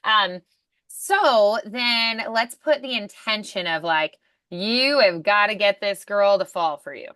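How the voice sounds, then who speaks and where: Bright, encouraging woman coaching voice acting. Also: mid-range voice, lively intonation, slow pace, clean tone, no echo. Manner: encouraging, affirming, prompting with questions. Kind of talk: one-on-one coaching, conversational questioning.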